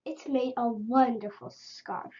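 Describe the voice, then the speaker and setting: A woman's voice: a little high, fairly even intonation, slow pace, darkish tone, dull audio. A woman reading a children's story aloud as a storyteller.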